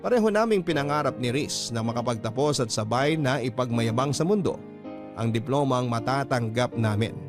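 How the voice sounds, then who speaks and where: A man reading a love story as scripted radio-style narration, in the role of storyteller. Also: medium pitch. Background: music.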